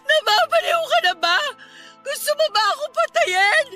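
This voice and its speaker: A woman's voice: extremely high pitch, lively intonation, moderate pace, bright, forceful tone, full character voice. A woman playing an agitated, pleading young woman in her twenties in an emotional Filipino radio drama. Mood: tearful, scolding. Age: young adult. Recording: music bed under the voice.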